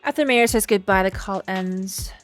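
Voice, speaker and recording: A woman's voice: mid-range voice, normal speed, soft background music. A woman streaming her casual, slangy and playful reactions to a game, sometimes reading its text aloud.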